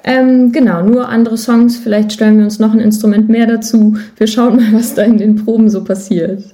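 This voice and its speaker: A woman's voice: fairly high pitch, steady tone, moderate pace, warm tone. A woman speaking as a guest musician in a casual podcast interview, thoughtfully searching for her words.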